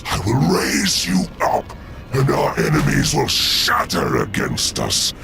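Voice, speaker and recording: A gravelly fallen angel lord. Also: male voice, full character voice. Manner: swings from hushed whispers to booming shouts. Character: fallen angel lord tempting a warrior, grand and seductive. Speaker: game character. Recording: game cutscene with music underneath.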